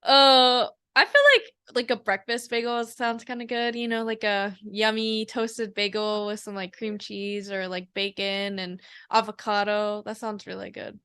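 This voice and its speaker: Bright-voiced giggly young woman. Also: medium pitch, flat delivery, a little slow, few pauses, smooth, dry recording. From South Korea. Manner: giggly, laid-back, swears casually. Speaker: podcast co-host. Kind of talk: casual chat.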